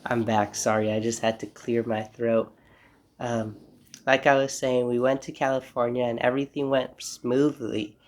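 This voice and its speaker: A man's voice: mid-range voice, level tone, normal speed. A young man hosting a casual solo podcast, stuffy from a cold, talking in a rambling, reflective way. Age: young adult. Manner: rambling, reflective, apologetic asides. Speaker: podcast host. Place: home room.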